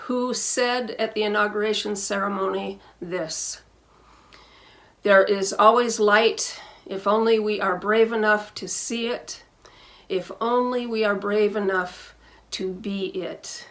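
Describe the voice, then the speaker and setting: Woman pastor reading her sunday welcome at home, deliberate phrasing, middle pitch. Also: fairly bright tone. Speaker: minister. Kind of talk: worship service welcome, scripted sermon. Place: recorded at home.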